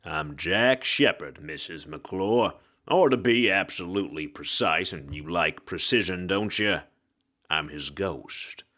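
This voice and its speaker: A man's voice: deep voice, animated, slow pace, bright, forceful tone, voice pushed down, heavily acted. A man voicing a tough, mocking ghost of a private eye in an audiobook, with a slangy, sardonic drawl in a 1940s detective manner. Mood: cool, menacing. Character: tough hard-boiled male detective ghost, mocking, self-assured.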